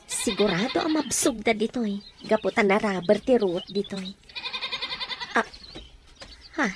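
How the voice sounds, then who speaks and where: A woman's voice: on the high side, background noise. A woman with a Filipino accent playing a flirtatious, scheming farm wife and mother in an audio drama. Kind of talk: Ilocano audio drama acting.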